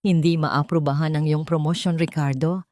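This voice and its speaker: A stern military officer performed by a woman narrator delivers words in a cold, official manner. The voice sits low in a dry audio story recording. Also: fairly even intonation, moderate pace, darkish tone, no room sound, lightly acted.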